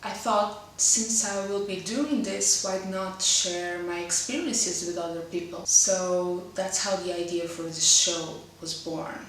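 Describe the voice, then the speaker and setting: A woman's voice: lowish voice, fairly even intonation, measured pace, few pauses, a bit bright, slight background noise. Portuguese-accented woman, roomy and distant. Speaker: host. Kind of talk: casual web series presenting. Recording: roomy echo, mic at a distance.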